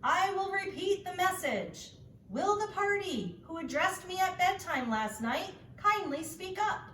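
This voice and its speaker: A woman's voice: extremely high pitch, very animated, a little slow, a bit bright, reverberant, far from the mic, a little hiss or hum, voice pushed up, heavily acted. A woman voicing an earnest, anxious young male pig in a storybook, speaking in a formal, pleading, over-polite way. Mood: earnest, loud.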